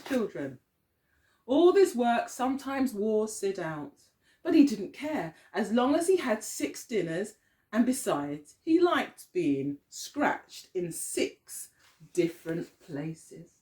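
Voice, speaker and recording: A woman teacher reading a picture story aloud to young children, expressively, often pausing to put questions to them. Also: fairly high pitch, big pitch swings, darkish tone.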